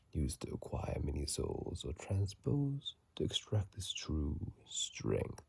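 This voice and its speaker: A video host whispering softly in a breathy, hushed voice, reading aloud and adding casual commentary in an ASMR style, close to a phone mic. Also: low-pitched, no echo. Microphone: phone mic held close.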